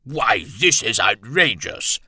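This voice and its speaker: Casting: pompous retired colonel played by a man, older, blustering, formal and indignant, forceful delivery for audiobook fiction. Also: mid-range voice, sing-song, normal speed, no room sound, studio quality, pitched above the performer's own voice, full character voice. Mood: outraged.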